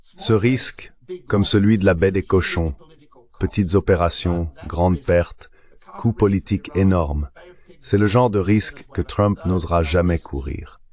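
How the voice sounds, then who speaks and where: A man's synthetic voice, pitched clearly low. He sounds like a guest commentator on politics, rendered as a translated voice-over. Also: unhurried, darkish tone.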